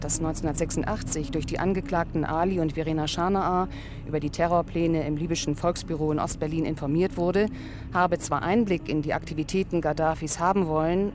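A woman working as a radio reporter, reading scripted documentary news narration. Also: low voice. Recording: music playing behind the voice. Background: music.

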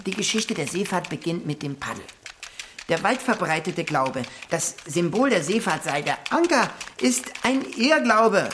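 Slow, earnest would-be sailor voiced by a woman. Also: fairly low pitch, animated, noisy background. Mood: solemn, earnest. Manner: deliberate, solemn, pedantic. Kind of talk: children's radio play acting.

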